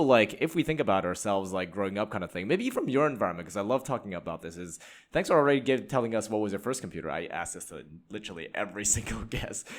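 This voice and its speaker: A man raised in Canada hosting a podcast, asking rambling questions full of "like" in a casual chat. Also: on the low side. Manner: rambling, heavy use of "like". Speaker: podcast host.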